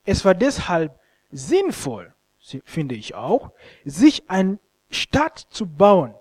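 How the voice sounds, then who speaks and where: A man, a Luganda speaker, preaching a sermon in an expressive, halting way and often stumbling over words. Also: sits high. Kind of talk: sermon with scripture reading and commentary.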